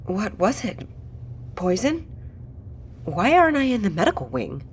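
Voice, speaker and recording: A woman's voice: fairly high pitch, big pitch swings, unhurried, vocal fry, slight background noise, exaggerated voice. A woman playing a direct, questioning doctor and project leader in an audio drama. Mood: alarmed, confused.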